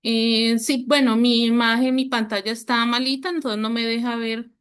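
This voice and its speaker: A woman's voice: fairly high pitch, little pitch movement, moderate pace, a bit bright, smooth. A woman sharing her experience in an online workshop, speaking hesitantly with many fillers and restarts over a video call. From Colombia. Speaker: workshop participant.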